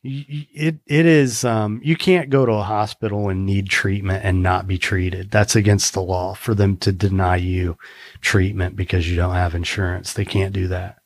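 A man's voice: deep voice, steady tone, moderate pace, no room sound. An American man talking earnestly in a casual conversation, rambling a little with restarts. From United States.

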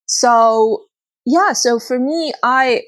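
A woman whose first language is Arabic, speaking earnestly as an interview guest, sometimes pausing to search for words. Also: fairly high pitch, dry recording. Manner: earnest, searching for words, laughs between thoughts. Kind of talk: conversational interview.

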